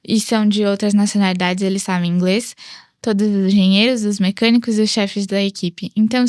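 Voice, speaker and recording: A woman's voice: medium pitch, darkish tone, dry recording, studio quality. A Brazilian woman speaking Brazilian Portuguese as an interview guest, chatting casually and expressively. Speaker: interview guest, sports psychologist. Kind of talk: casual chat.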